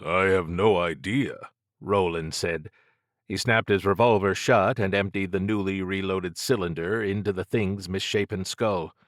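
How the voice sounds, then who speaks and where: A man's voice: low voice, fairly even intonation, moderate pace, no echo, clean studio sound. A man reading scripted dramatic fiction as an audiobook narrator.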